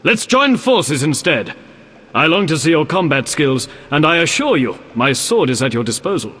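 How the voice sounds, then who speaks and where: An actor playing an earnest, pious knight in a video game, speaking in a formal, courteous and solemn manner. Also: male voice, on the high side, sing-song, moderate pace, a bit bright, slightly rough, heavily acted. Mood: proud, resolute. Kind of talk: video game character acting. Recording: long echoing reverb.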